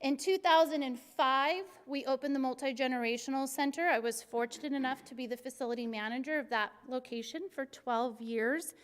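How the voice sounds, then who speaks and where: A middle-aged woman from Arizona, a city recreation superintendent with a very high-pitched voice, giving an energetic, joking presentation to a class. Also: a little fast, few pauses. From Arizona, USA. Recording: long hall reverb.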